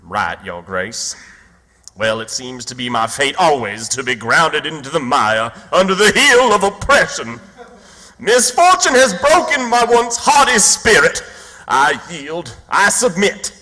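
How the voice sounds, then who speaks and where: Radio-play con man: high, swooping delivery, declamatory and grandiose, posing as nobility. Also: very animated, a little slow, pushed and bright, heavily acted. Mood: self-pitying. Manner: theatrical, declamatory. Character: bombastic self-pitying swindler posing as a nobleman. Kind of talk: old-time radio theatre acting. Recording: reverberant hall sound with music behind.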